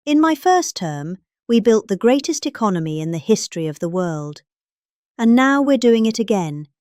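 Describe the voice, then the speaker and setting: A middle-aged British woman reads out a political speech as a performance. Her pitch swings up and down with exaggerated emphasis on key words. The recording is dry and close, with no room sound. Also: big pitch swings, moderate pace, darkish tone, no echo. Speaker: reader. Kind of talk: dramatic reading of a political speech.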